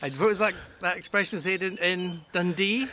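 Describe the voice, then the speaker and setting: A Scottish man co-hosting a guided tour outdoors, chatty and quick to laugh, chiming in and passing along viewers' questions. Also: high-pitched, bright, forceful tone, a little hiss or hum. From Scotland.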